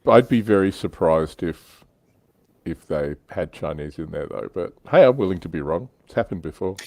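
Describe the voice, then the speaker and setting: A man's voice: low voice, expressive, moderate pace, a little mellow, dry recording. A man hosting a casual livestream discussion about a hobby, talking conversationally. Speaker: livestream host.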